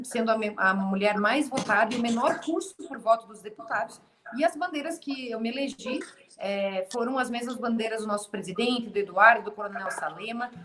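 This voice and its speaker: Earnest woman from southern Brazil. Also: fairly high pitch. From Santa Catarina, Brazil. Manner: earnest, lists points, some hesitations. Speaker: congresswoman guest. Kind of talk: political livestream talk.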